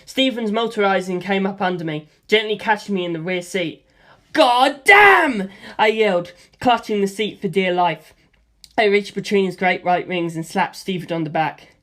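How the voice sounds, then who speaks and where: A man narrating a first-person action story as an amateur audiobook reader, in an animated style. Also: high-pitched, moderate pace.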